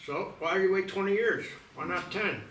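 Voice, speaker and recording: A man's voice: high-pitched, normal speed, fairly bright tone. A man at a screenplay table read playing a skeptical, practical small-town sheriff in a dry, conversational way. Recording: noisy, echoey room, distant mic.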